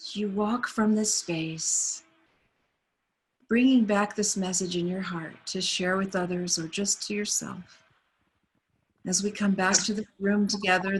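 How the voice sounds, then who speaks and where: A woman leading a guided meditation on a video call, speaking softly and soothingly. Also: mid-range voice, slight background noise, poor audio. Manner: soft, unhurried, soothing.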